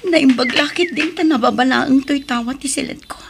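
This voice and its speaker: A woman's voice: high-pitched, normal speed, creaky. A woman with an Ilocano accent acting in a radio drama as a humble, anxious housemaid, deferential and pleading. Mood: frightened. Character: humble, anxious young married housemaid, fearful but resourceful. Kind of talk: Filipino radio drama acting with inner monologue. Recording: noisy radio drama recording.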